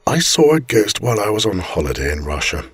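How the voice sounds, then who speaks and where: A man reading a scripted ghost story aloud as a storyteller, with measured, deliberate and theatrical phrasing. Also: lowish voice, very animated, breathy edge, no room sound.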